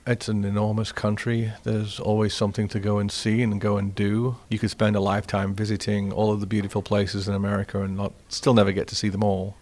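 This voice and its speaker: Man, English accent, from England, low voice, flat steady intonation, measured storytelling. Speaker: interviewee.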